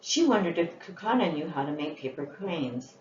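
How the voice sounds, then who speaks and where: Echoey low-voiced woman reading a storybook. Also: sing-song, moderate pace, a little mellow. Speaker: children's author reading her book. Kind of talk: scripted storybook narration. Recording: roomy, muffled, with faint background noise.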